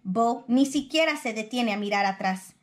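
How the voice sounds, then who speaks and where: A woman reading a children's picture book aloud in expressive storybook narration. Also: a little high, moderate pace.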